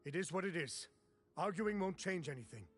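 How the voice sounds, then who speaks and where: A man's voice: sits high, expressive, moderate pace, faint music behind. A man playing a pragmatic, no-nonsense gang member who keeps order in a video game. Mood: firm, calming. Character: firm, pragmatic peacemaking male gang member. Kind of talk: video game dialogue acting.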